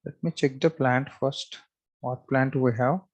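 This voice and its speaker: A male trainer with a mid-pitched voice walks through a software tutorial step by step. He talks as he works, in short halting phrases, often repeating himself as he thinks aloud and instructs. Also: steady tone, muffled recording.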